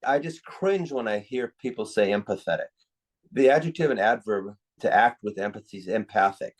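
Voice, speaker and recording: A man's voice: on the low side, lively intonation, moderate pace, poor audio. A man speaking as a psychiatrist and interview guest, candid and opinionated, stressing key words as he talks conversationally.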